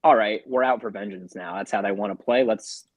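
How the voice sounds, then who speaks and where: A man's voice: on the low side, fairly quick, dry recording. Man chatting sports, dry close sound. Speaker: sports podcast co-host. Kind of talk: casual sports talk and game breakdown.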